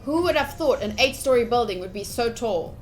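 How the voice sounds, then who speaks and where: A woman with a fairly high voice streams a visual novel. She reads the text aloud expressively and chats casually, with an excitable, playfully dramatic way of talking. Game music plays behind her. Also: brisk, poor audio. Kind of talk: livestream playthrough, reading game text aloud with casual commentary.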